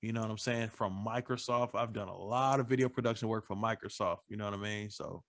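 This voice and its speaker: A man's voice: fairly low pitch, quick pace, a bit bright, no room sound. Laid-back man, dry close sound. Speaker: video producer guest. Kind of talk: casual live chat. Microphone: phone or computer live stream.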